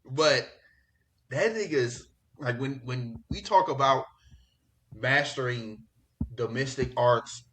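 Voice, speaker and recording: A man's voice: mid-range voice, takes it slowly, long pauses, rough recording. Casual, slangy man chatting on a podcast. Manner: slangy, profane, excitable. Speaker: podcast host. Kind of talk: casual pop culture chat.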